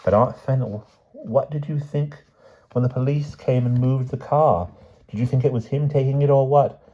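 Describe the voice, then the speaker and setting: A man's voice: mid-range voice, moderate pace, voice pushed up, lightly acted. A man voicing an anxious, caring niece in an audiobook story, questioning in an urgent manner. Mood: puzzled, insistent. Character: anxious, caring, inquisitive niece of a frail aunt. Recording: dull, muffled audio.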